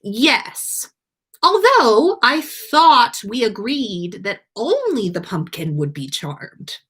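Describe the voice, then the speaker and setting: A woman's voice: on the high side, big pitch swings, slow pace, fairly bright tone, slightly rough, exaggerated voice. A woman voicing an exasperated, meddling young matchmaker in a story reading, with an emphatic manner.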